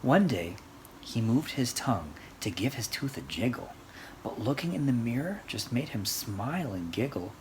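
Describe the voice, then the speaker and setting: Children's storytime host, medium pitch, very lively sing-song reading, faint background noise. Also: normal speed, low-quality recording. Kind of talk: expressive read-aloud of a rhyming picture book.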